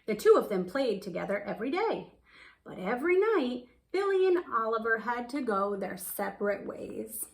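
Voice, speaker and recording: A woman's voice: a little high, big pitch swings, moderate pace, gravelly. A woman reading a picture book aloud, telling the story expressively and stretching words for emphasis. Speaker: children's storybook reader.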